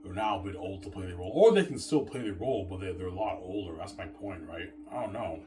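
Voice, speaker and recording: A man's voice: sits low, expressive, fast, background noise. A man in his thirties rambling to his viewers in a self-assured, repetitive way, often restarting his sentences. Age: 30s. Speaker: vlogger.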